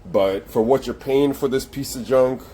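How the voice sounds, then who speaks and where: A man's voice: mid-range voice, moderate pace, dark tone, slight background noise. A man giving a blunt, sarcastic review of an espresso machine, complaining about its flaws. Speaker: product reviewer.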